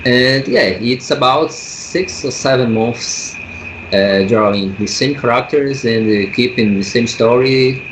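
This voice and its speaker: A man from Brazil with a Brazilian Portuguese accent, a comic artist guest speaking English as a second language, halting and searching for words. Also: mid-range voice, noisy background. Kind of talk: casual interview over a call.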